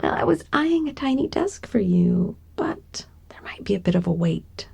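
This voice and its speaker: A woman playing a gentle giantess secretary in an ASMR roleplay, doting and coy, with a soft, breathy voice that often slips toward a whisper. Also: mid-range voice, big pitch swings, moderate pace, heavily acted. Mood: helpful, playful. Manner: tender, coy, hesitant, giggly asides. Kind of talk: ASMR roleplay, intimate one-sided conversation.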